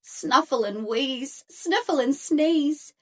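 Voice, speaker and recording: A woman reading a children's picture book aloud with expressive, rhythmic phrasing. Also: high-pitched, takes it slowly. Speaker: storyteller.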